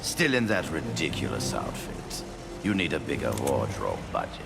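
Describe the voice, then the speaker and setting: A man voicing a gruff, sardonic comic-book brawler with a gravelly growl in a video game cutscene. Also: rumbling low, wildly swooping pitch, moderate pace, heavily acted. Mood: mocking. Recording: loud music behind the voice.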